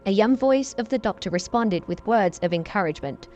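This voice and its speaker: A woman reading a dramatic story in an even, unhurried scripted narration. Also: medium pitch, highs cut off, soft background music.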